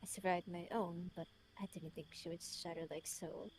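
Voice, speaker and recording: A woman voicing a lonely, remorseful man with a British accent, reading his confession in a solemn, literary, measured way. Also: lowish voice, steady tone, noisy background, voice pushed down, natural voice. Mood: melancholy, brooding.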